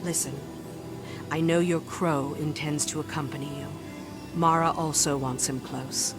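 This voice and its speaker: An actress playing a serious, commanding strategist in a video game, giving a measured, explanatory mission briefing. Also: female voice, deep voice, fairly even intonation, music in the background. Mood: grave, urgent. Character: grave, commanding woman strategist briefing a soldier.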